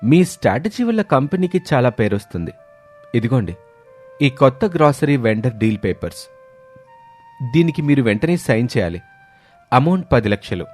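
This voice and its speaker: A man's voice: medium pitch, very animated, moderate pace, pauses often, a little mellow, soft background music. A man voicing a sly office colleague who feigns humility, urging and ingratiating, in a moral story. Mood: falsely humble. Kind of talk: animated moral story dialogue.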